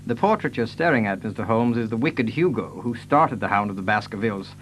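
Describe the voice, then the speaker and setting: An actor playing a frank, courteous gentleman heir in an audio drama, plain-spoken and trusting. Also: on the low side, lively intonation, brisk, a little hiss or hum, natural voice, rough recording. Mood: frank and agreeable.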